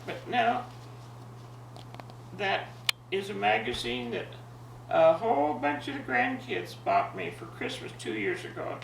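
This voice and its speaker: A woman of ninety, a grandmother with a jovial, expressive manner, talking and telling stories to her family. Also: low voice, a little slow, long pauses. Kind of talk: casual reminiscing and animated story reading for family. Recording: echoey, distant home recording with faint noise.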